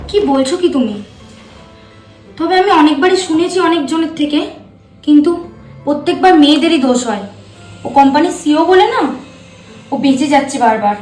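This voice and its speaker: An assertive wife in a melodrama short , played by a woman, speaks in a high voice with firm, righteous insistence. The room echoes around her and music runs faintly behind. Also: animated, a little fast, pauses often, darkish tone. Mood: scornful. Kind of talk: short-film melodrama acting. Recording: roomy echo, faint background music.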